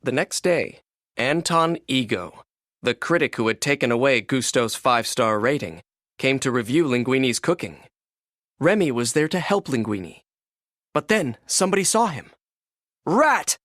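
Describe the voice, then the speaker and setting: A man narrating a children's read-along storybook in an expressive, scripted storytelling manner. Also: medium pitch, normal speed, no room sound, studio quality.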